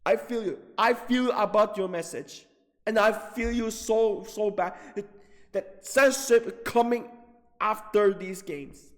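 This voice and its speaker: High-pitched agitated man in heavy reverb. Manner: agitated, profane, repetitive, halting with drawn-out emphasis. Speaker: gaming video creator. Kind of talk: angry off-the-cuff rant about video games. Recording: long reverberant hall-like echo.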